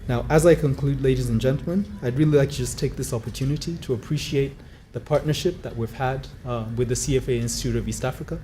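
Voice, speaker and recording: A man giving a formal conference speech, measured, with frequent fillers. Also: medium pitch, warm tone. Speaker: conference speaker. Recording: faint background noise.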